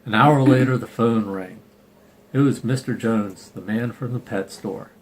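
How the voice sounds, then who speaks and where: A man's voice: medium pitch, a little slow. A man reading a children's picture book aloud informally, with irreverent, sarcastic asides. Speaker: storybook reader and channel host. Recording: clearly noisy home recording.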